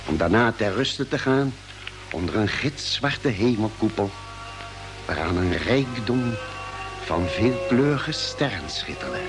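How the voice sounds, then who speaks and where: A space explorer narrating his expedition in an old radio serial. The delivery is measured and dramatic, with lively pitch movement, and music plays clearly behind him. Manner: measured, literary, dramatic phrasing. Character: male expedition member solemnly narrating the space journey.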